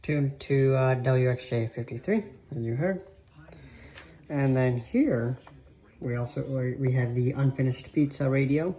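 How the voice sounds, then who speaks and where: A man giving an informal, halting show-and-tell narration as a radio hobbyist. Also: medium pitch, mellow. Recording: clearly noisy with clicks. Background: weather radio playing.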